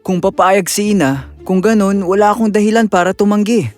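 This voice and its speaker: Male actor as a young fairy-tale prince, high voice, earnest, insistent, faint music behind. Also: steady tone, fairly quick, natural voice. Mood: agreeable. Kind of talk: dramatized children's fairy-tale voice acting.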